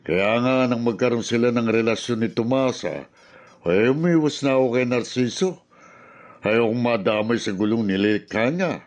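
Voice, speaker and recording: A man's voice: medium pitch, moderate pace, close to an everyday voice. An actor playing a plainspoken middle-aged male neighbor in a Filipino radio drama. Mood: sympathetic, regretful.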